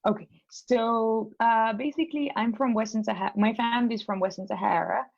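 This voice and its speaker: A woman's voice: a little high, fairly even intonation, a little fast, creaky, no room sound, lo-fi sound. A young woman from Spain, a law school applicant, talking through her plans in a casual consultation call, hesitant with many fillers and restarts.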